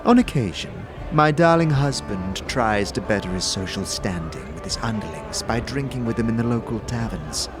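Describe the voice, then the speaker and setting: A man's voice: on the low side, animated, moderate pace, hardly any pauses, lightly acted. Man voicing a gleeful, haughty elven noblewoman, swooping intonation. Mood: disdainful, indignant. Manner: theatrical, disdainful, vivacious. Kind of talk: fantasy audio drama dialogue. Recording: music behind the voice.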